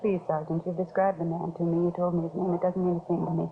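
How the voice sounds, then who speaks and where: A woman's voice: on the low side, level tone, quick pace, crowd noise, close to an everyday voice. An actress playing a guarded, defensive beauty shop owner in her thirties in a 1950s radio drama, cool and firm. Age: 30s. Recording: noisy, tinny old radio recording.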